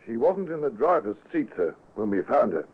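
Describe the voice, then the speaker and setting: An actor playing a deferential, observant police constable, formal and precise, in old-time radio drama style. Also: male voice, medium pitch, big pitch swings, moderate pace, breathy edge. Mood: earnest, precise. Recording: muffled vintage radio recording, narrow band.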